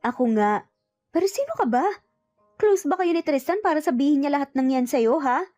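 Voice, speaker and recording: Bold young heroine, played by a female narrator, kind but firm, emphatic, mellow-toned, for romance audio drama. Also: high-pitched, big pitch swings, brisk, soft background music, voice pushed up. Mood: annoyed, bold. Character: kind but firm young woman standing her ground.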